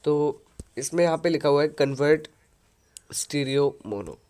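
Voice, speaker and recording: A man hosting a software tutorial, explaining an audio editor step by step into a headset mic. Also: fairly high pitch, normal speed. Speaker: tutorial host.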